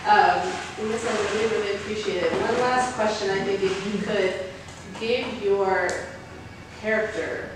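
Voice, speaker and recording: A woman's voice: mid-range voice, steady tone, unhurried. A woman moderating a group discussion in an informal, effusive way. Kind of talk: casual hosting talk. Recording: distant, echoey room with clear noise.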